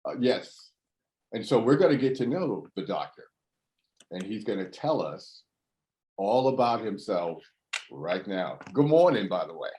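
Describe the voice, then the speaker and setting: Chatty low-voiced male host. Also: very animated, measured pace, long pauses, mellow. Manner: chatty, friendly, laughs often. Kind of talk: talk show hosting.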